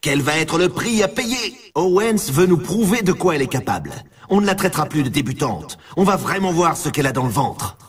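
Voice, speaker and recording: A man playing an excitable, grandiose snowboard championship announcer in a video game, delivering scripted, theatrical sports commentary. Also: fairly high pitch, a little fast, recorded from a distance. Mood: excited, intrigued. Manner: theatrical, grandiose, hyping the event.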